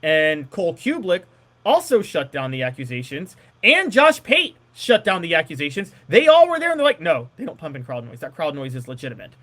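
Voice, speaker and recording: A man's voice: on the high side, wildly swooping pitch, brisk, a bit bright. A man talking casually about sports as a co-host, conversational but emphatic when making his points.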